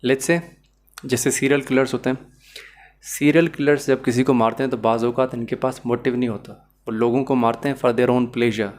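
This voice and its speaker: A man's voice: medium pitch, little pitch movement, moderate pace, darkish tone. A man with a Pakistani accent lecturing as a law teacher, mixing English into his speech and explaining points step by step in a didactic way. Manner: code-switches between Urdu-Hindi and English.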